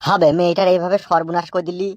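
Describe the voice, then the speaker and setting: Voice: medium pitch, flat delivery, fast, no echo, highs cut off, natural voice. A performer voicing an anxious, nagging old father in a comic cartoon, grumbling and scolding. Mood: anxious, advising. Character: exasperated, foul-mouthed old village father, anxious and nagging.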